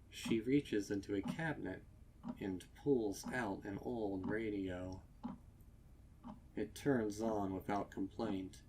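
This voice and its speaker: Slow, low-voiced man narrating a dark story. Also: background noise. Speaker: narrator of a horror fan fiction reading. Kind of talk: scripted dramatic story narration.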